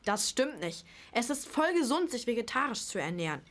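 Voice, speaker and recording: A female amateur acting as an earnest girl in a radio play. She has a rather high voice and likes to argue her point. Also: big pitch swings, moderate pace. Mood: insistent. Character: earnest, argumentative grandchild, a girl. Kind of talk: amateur audio drama acting.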